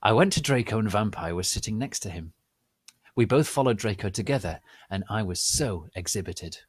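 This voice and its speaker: A man narrating a story as a dramatic reading, theatrical and deliberate, close to the microphone. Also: lowish voice, lively intonation, husky, dry recording. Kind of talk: dramatic scripted fanfiction reading.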